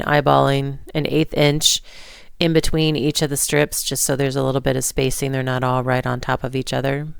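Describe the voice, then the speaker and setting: A woman narrating a card-making tutorial in relaxed, rambling steps, hoarse and stuffy from a cold. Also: low-pitched, dry recording, clean studio sound. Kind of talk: voice-over craft tutorial.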